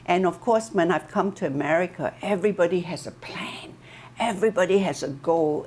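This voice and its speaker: Slow, reflective Malaysian-raised woman speaker. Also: fairly low pitch, a little hiss or hum. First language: Chinese. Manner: reflective storytelling, lively emphasis on key words. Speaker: wellness speaker. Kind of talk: informal talk on a video call. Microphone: video call.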